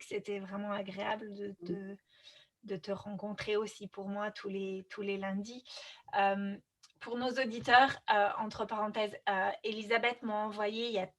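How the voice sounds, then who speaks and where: A woman's voice: on the high side, a bit bright. A woman hosting a podcast in her own language, speaking casually with many fillers and restarts. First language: French. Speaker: podcast host. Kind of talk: casual conversational interview.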